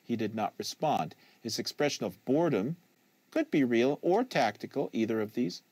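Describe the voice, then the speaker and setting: Male audiobook narrator, literary prose, animated pitch, dry studio close-up. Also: normal speed, dry recording. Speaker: audiobook reader. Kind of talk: literary narration read aloud. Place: small acoustically treated indoor studio. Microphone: close studio microphone on desk.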